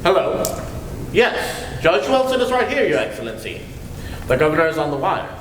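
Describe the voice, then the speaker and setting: A man's voice: a little high, big pitch swings, normal speed, noisy background. An actor playing a nervous, stammering male aide to a judge, in 1940s radio acting style, on an echoey old radio broadcast with music. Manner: hesitant, stammering, deferential.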